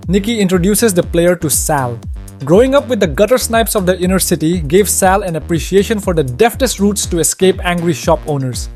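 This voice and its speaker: High-voiced man narrating a story. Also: animated, brisk. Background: faint music.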